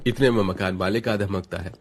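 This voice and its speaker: A man's voice: deep voice, fairly even intonation, fairly quick. A man narrating a scripted story in a dramatic storytelling style.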